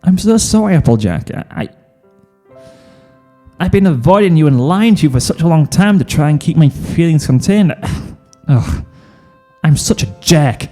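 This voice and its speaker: A man's voice: fairly high pitch, animated, normal speed, mellow, long reverb tail, faint music behind, voice pushed up, close to an everyday voice. A man voicing a bashful, apologetic young lover, earnest and hesitant, in a fan fiction reading. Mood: ashamed, flustered.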